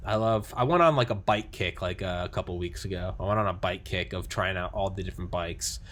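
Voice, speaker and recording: A man's voice: low voice, fairly quick. A man chatting casually about a video game, rambling and full of fillers, laughing as he tells stories. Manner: excitable storyteller, laughing as he goes. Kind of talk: casual gaming chat.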